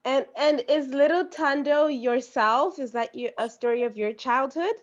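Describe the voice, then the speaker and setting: High-pitched lady interviewer, slow and chatty, muffled lo-fi sound. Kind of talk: casual interview chat.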